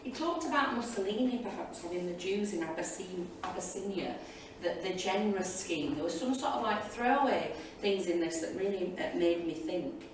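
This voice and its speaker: A woman's voice: fairly low pitch, lively intonation, normal speed, few pauses. A woman speaks as a panelist in a noisy, heavily reverberant hall, some way from the mic. Her delivery is informal and enthusiastic, wandering from point to point like a teacher thinking aloud. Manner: chatty, enthusiastic, digressive. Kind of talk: informal spoken reflections to an audience. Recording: echoey hall, distant mic, loud room noise.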